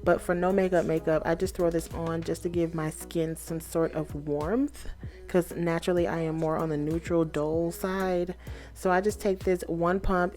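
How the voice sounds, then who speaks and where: Chatty woman with a lowish voice. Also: warm tone. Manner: chatty, upbeat, talks straight to viewers. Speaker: beauty vlogger. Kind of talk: casual makeup tutorial.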